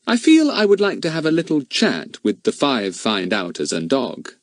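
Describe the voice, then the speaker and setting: A man's voice: mid-range voice, wildly swooping pitch, normal speed, no echo, studio quality, pitched above the performer's own voice. A man doing a stern police inspector. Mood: firm. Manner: firm, formal and courteous.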